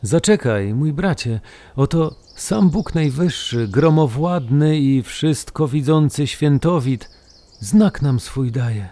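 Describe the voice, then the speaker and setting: An actor playing a solemn, devout Slavic prince in an audio drama, speaking in a stately, declamatory way. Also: male voice, a little high, animated, slow pace, warm tone. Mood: solemn, reverent. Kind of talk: audio drama acting in archaic, elevated language.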